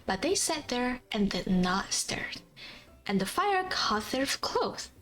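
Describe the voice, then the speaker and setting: A woman livestream host casually reading a fairy tale aloud, halting over old-fashioned words in a giggly, apologetic way. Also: on the high side, very animated. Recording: faint background music. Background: soft background music.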